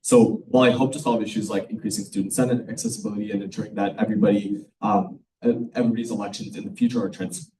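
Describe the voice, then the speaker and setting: Young guy doing a student campaign pitch, lots of ums, kinda low voice, sounds far from the mic. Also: big pitch swings, quick pace, creaky, hardly any pauses, a crowd in the background. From California. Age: young adult. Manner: earnest, filler-heavy public speaking. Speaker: student election candidate. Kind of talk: campaign speech at a forum. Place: room at a public forum.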